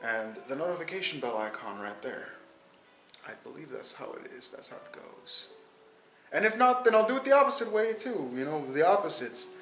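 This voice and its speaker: A man's voice: on the high side, very animated, moderate pace, fairly bright tone, faint music behind. Rambling young man in an echoey room. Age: 20s. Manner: rambling, repeats words and restarts. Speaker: podcast host. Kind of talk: casual solo podcast monologue.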